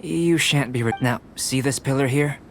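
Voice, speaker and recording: A man voicing a composed young male alchemist in a game, speaking in a measured, precise, academic way. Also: mid-range voice, a little hiss or hum, close to an everyday voice. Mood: calm, analytical. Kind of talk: game voice acting. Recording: game audio with clear music behind. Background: game music.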